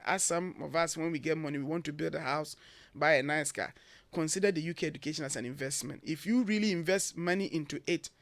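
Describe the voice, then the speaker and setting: A man's voice: on the high side, no echo. A man from Ghana with a Ghanaian accent, a guest on a morning radio show, giving earnest advice point by point. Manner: earnest, emphatic, lists points. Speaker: guest, education agency head. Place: radio studio.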